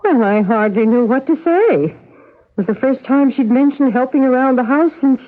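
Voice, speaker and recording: An actress in a vintage radio play voicing a gentle, fretful middle-aged mother, fond and coaxing, a little hesitant. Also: high-pitched, moderate pace, natural voice. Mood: worried. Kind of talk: old-time radio drama acting. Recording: narrow muffled vintage radio recording.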